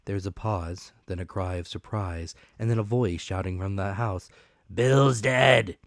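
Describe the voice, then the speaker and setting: Male audiobook narrator: low-pitched, dramatic scripted delivery, dry sound. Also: steady tone, normal speed, a little mellow, no room sound.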